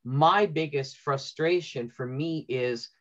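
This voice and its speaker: A man's voice: fairly high pitch, slow. A middle-aged man moderating an online panel, speaking conversationally over a video call. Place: indoor room, video call. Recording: dull, lo-fi video-call audio.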